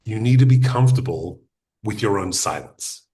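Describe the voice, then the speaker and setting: Man giving an unhurried workshop talk. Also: fairly low pitch, expressive, dark tone, slight background noise. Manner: deliberate, uses pauses and restarts; addresses audience directly with practical advice. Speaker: podcasting workshop speaker. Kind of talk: conversational teaching talk.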